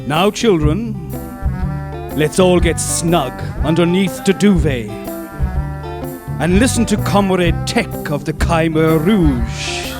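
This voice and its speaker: A man performing poetry theatrically in a measured bedtime-story manner, as if speaking to small children. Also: a little high, animated, music in the background.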